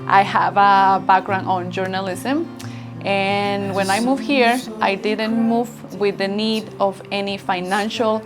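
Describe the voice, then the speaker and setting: Woman founder, 30s, from Ecuador, warm grateful interview talk, music under her. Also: medium pitch, steady tone, slow pace. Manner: earnest, grateful storytelling. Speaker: business founder. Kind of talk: interview answers. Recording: background music bed.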